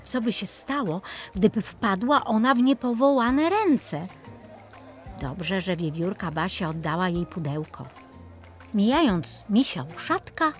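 A woman narrating a children's story expressively as the storyteller. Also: mid-range voice.

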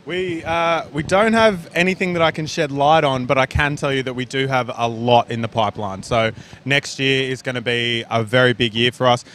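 Upbeat man pitching products at a booth. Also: moderate pace, fairly bright tone, slight background noise. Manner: upbeat sales pitch, with fillers. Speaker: brand representative. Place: indoor expo hall, busy. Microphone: handheld mic.

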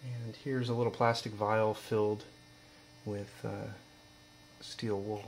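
Young male student presenter, even monotone, informal and explanatory, faint mains hum behind. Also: lowish voice, takes it slowly. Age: young adult. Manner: matter-of-fact, explanatory. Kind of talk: informal demonstration narration. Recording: steady mains hum, background noise.

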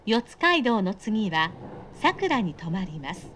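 A woman's voice: medium pitch, very animated, slow pace, a bit bright, a little hiss or hum. A woman reading scripted, pre-recorded train announcements in a polite, formal and carefully enunciated way.

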